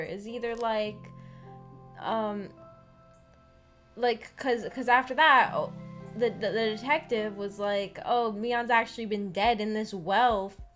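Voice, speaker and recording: A woman in a casual, rambling discussion as a streamer, animated and giggly, often hesitantly thinking aloud. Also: medium pitch. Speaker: streamer hosting a visual novel book club discussion. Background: background music.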